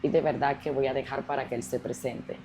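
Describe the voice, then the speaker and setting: A woman pastor hosts with an unusually deep, dark, mellow voice. She speaks in devotional phrases and affirms her guest often. A faint background hiss sits under her speech. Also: flat delivery, a little fast. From Colombia. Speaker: pastor and host.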